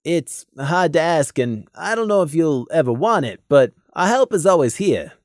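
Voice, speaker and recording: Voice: medium pitch, very animated, normal speed, darkish tone, no echo, studio quality, natural voice. A narrator as a frank, grumbling male pony. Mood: frank advice. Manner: frank, grumbling, awkwardly candid. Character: gruff but caring male pony companion.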